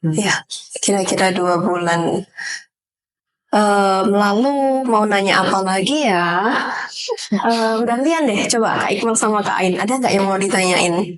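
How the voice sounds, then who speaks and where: A chatty woman hosts a relaxed interview. Her pitch sits in the middle range for a woman, and she slips English phrases into her casual talk. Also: unhurried, long pauses. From Jombang, East Java, Indonesia. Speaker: podcast host.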